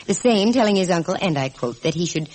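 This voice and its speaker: An actress with an American accent playing a sharp-witted, breezy female private investigator in a 1940s radio detective drama, slangy and teasing. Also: fairly low pitch, lively intonation, fast talker, rough recording. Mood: matter-of-fact, wry.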